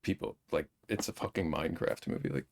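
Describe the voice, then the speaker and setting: A man's voice: very deep voice, fast talker, gravelly, darkish tone. A man co-hosting a casual film discussion on a live stream, speaking bluntly and swearing freely. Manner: blunt, profane. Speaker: co-host reviewing a film. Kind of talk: casual, unscripted movie discussion.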